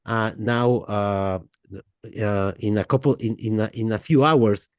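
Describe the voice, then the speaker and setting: A man from Mexico, hosting a podcast interview in a halting way, full of fillers and restarts. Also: fairly low pitch, smooth, dry recording, studio quality. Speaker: podcast host. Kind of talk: casual interview chat.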